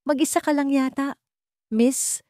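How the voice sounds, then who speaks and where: A woman with a Filipino accent voicing a smooth, charming, self-assured wealthy male CEO in a story drama. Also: high voice, steady tone, measured pace, a little mellow, dry recording, pitched above the performer's own voice. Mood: flirtatious.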